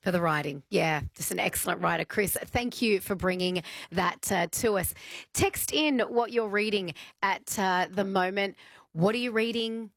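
Woman, radio co-host, animated chat, dry studio sound. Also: medium pitch, moderate pace, dry recording. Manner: lively, animated storytelling with many fillers.

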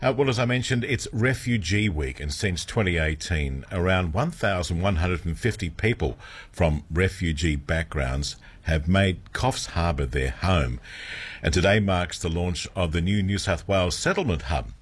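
An Australian man hosting a local breakfast radio show, interviewing a guest in a relaxed, slightly halting way. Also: sits low, slightly rough. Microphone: studio microphone.

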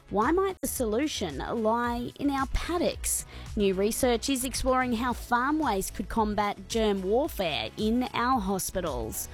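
A woman hosting a radio show, opening it in a friendly, conversational way. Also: on the high side.

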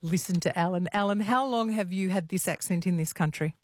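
A woman's voice: on the low side, a little fast. A woman hosting a late-night radio talk show, chatty and teasing with callers. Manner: chatty, teasing, warm interviewer. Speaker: radio host.

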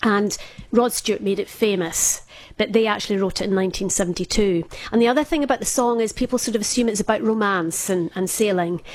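A woman's voice: mid-range voice, a little fast, a little mellow. A Scottish woman in her fifties, a radio guest telling a personal story in casual chat, hesitant, with frequent small laughs. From Scotland.

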